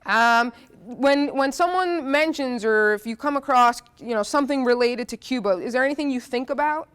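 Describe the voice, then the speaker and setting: A woman's voice: high-pitched, normal speed, fairly bright tone, smooth. A woman from New Jersey giving a chatty, interactive history lecture to a class, with a stuffy nose from allergies and frequent "um"s. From New Jersey, United States. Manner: chatty, asks the audience questions, frequent "uh" and "um".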